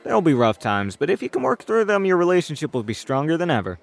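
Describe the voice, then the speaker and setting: Actor as a blunt, animated young man. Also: on the high side, quick pace, vocal fry, faint music behind. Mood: supportive. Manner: outspoken, dry. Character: blunt, principled young man, protective of his friend. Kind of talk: audio drama acting.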